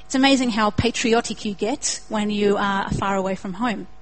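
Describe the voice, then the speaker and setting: A woman's voice: mid-range voice, moderate pace, rough recording. A young woman with an Australian accent preaching a sermon in an earnest way, building to emphatic repetition.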